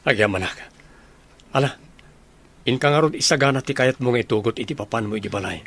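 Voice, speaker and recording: A man's voice: medium pitch, fast talker, a bit bright, natural voice. Earnest young suitor played by a man, Ilocano-accented. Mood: earnest, persuading. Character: earnest adult son and suitor about to leave. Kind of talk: radio drama acting.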